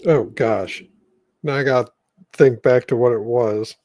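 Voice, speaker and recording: A man's voice: medium pitch, a little slow, warm tone. A man hosting a podcast in a casual, conversational style. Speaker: podcast host. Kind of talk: casual chat.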